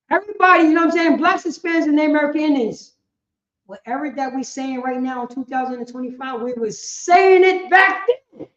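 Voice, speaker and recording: A woman teaching a religious class in an impassioned, emphatic way, repeating phrases and asking rhetorical questions. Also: high voice. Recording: echoey room, dull lo-fi sound.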